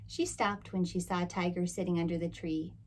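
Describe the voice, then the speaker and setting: A woman storyteller reading a picture book aloud to children expressively, with deliberate phrasing. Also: lowish voice, flat delivery, slight background noise.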